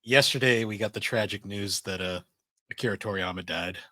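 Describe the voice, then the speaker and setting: A man's voice: on the low side, brisk, sharp tone, no echo. A man co-hosting a podcast in casual chat, with short, plainspoken interjections. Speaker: podcast co-host. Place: podcast studio.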